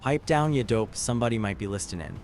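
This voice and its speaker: A man's voice: mid-range voice, expressive, fast talker, darkish tone, lightly acted. A man voicing an edgy, curt comic book thug. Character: edgy male thug in a robbery gang. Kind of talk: comic book dub acting. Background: music.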